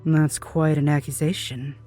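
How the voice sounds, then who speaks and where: An actress playing a composed, evasive older scientist, a retired superheroine, polite and guarded. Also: female voice, sits low, level tone, normal speed, warm tone, faint music behind, close to an everyday voice.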